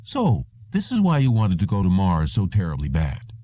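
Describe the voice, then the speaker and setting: Guy playing an irritable fussy boss in an audiobook, curt, very dark voice, bit of room echo. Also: low voice, wildly swooping pitch, normal speed, dull audio, exaggerated voice. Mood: scornful. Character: irritable, fussy male boss of a memory firm.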